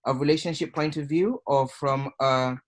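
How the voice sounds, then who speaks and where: A man panelist in an online discussion who answers in a measured, analytical way and breaks the question down. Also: on the high side, steady tone.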